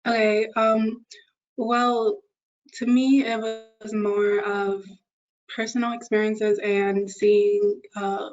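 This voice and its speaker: A young woman advocate sharing a personal story on a panel, earnest and halting, as if searching for words, on a video call. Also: mid-range voice, level tone, a little mellow. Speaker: youth advocate. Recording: muffled lo-fi online audio.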